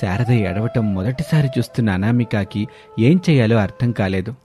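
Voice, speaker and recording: Male narrator, dark mellow tone, scripted storytelling, faint music bed. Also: lowish voice, moderate pace.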